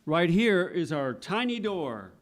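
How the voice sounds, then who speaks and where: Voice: medium pitch, sing-song, slow. A presenter giving a scripted live talk for children as part of a sound effects crew. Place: live library event before an audience.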